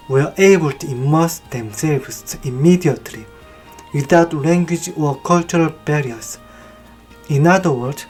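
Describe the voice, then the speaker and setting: Slow steady narrator reading a film essay, mid pitch, soft music underneath. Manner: slow, measured, evenly paced delivery. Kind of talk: scripted narration.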